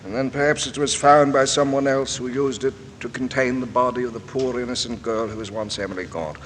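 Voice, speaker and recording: A man acting a courteous, persistent coroner questioning witnesses in old-time radio drama style, formal and deliberately polite. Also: mid-range voice, steady tone, a bit bright, close to an everyday voice. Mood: probing. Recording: old radio, hissy echoing hall.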